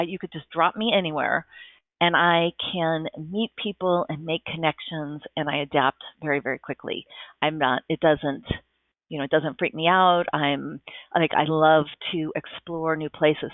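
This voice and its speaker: A woman's voice: low-pitched, dry recording. A woman speaking Japanese as her first language, a podcast guest giving thoughtful, enthusiastic answers with frequent restarts, heard over a tinny line. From United States. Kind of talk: casual interview answers.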